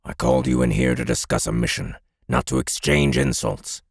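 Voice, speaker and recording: A man playing a stern, commanding resistance commander with a gravelly, husky voice, authoritative and clipped, in an audiobook drama. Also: a bass voice, big pitch swings, normal speed, no echo, clean studio sound, heavily acted. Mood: stern, snapping.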